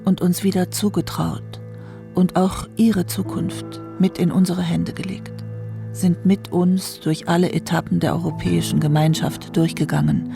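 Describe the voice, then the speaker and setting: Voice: medium pitch, gravelly. A performer voicing a brooding, troubled narrator of a later generation, in an intense, incantatory literary monologue with insistent repetitions. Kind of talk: literary monologue in a documentary radio drama. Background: music.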